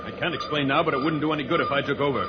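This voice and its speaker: Actor as earnest high-pitched radio space hero. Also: male voice, fairly even intonation, very fast, heavy background noise, lightly acted. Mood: urgent, instructing. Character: calm, urgent heroic space adventurer, grown man. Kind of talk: 1950s radio serial acting. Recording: muffled old radio transcription, music behind.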